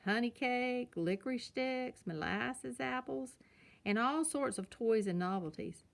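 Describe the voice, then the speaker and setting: An elderly grandfather bear from a children's storybook, played by a woman reading aloud. He is folksy and teasing, reminiscing emphatically in a highish voice. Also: expressive, measured pace, creaky.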